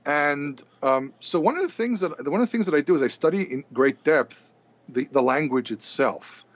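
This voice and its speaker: Man, Hebrew speaker, animated storyteller, repeats words, muffled dry recording. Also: fairly high pitch, normal speed, no echo. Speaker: podcast guest. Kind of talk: casual storytelling conversation.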